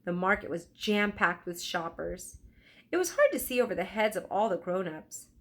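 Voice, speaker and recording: A woman narrating a children's storybook aloud in an expressive, deliberate storytelling style. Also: medium pitch. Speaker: teacher reading aloud.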